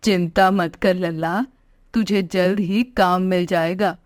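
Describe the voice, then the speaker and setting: Woman acting an old mother, cartoon story, gentle, consoling, lowered pitch, unhurried. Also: fairly even intonation, dry recording, studio quality. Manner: soothing, affectionate. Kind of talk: Hindi cartoon moral story.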